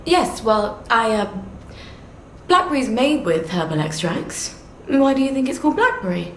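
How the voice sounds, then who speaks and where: A woman with a British accent playing a brisk, defiant young chemist in a video game, glib and self-justifying. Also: fairly high pitch, very animated. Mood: defensive. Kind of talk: video game character acting. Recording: roomy echo with background noise.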